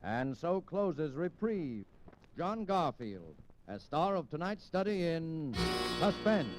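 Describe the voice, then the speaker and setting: A man's voice: fairly high pitch, big pitch swings, slow pace, soft background music, poor audio. An American man announcing in a formal old-time radio style. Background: loud musical score.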